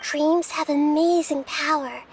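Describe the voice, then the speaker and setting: A woman voicing a gentle, wise, childlike goddess in a video game, speaking in a measured, formal way. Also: high voice, fairly even intonation, slight background noise. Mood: gentle, earnest. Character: gentle, wise childlike female nature deity, composed and kind. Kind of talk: scripted video game voice acting.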